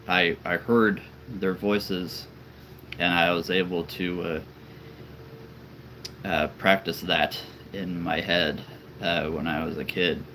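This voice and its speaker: Slow-talking man with a very deep voice. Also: pushed and bright, a little hiss or hum, rough recording. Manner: chatty and rambling, laughs often. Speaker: toy collector and channel host. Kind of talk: casual live-stream chat.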